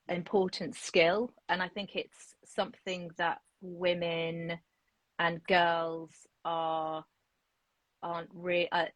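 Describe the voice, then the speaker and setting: A woman in her forties, a picture book author, talking in a relaxed interview with many restarts and fillers. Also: on the low side, no room sound, rough recording. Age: 40s. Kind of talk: casual interview chat.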